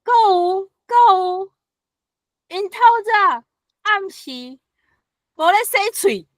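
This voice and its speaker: A woman's voice: very high-pitched, animated, fairly bright tone, no echo, heavily acted. A woman playing a petty, aggrieved, scheming spirit in a Taiwanese folk tale, whining and indignant with a Taiwanese accent. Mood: scheming tattling. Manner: whining, indignant, drawn-out complaining.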